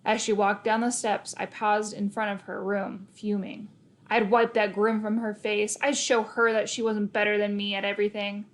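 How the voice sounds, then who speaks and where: Female storybook reader, mid-range voice, expressive first-person delivery for a children's novel. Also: mid-range voice, moderate pace.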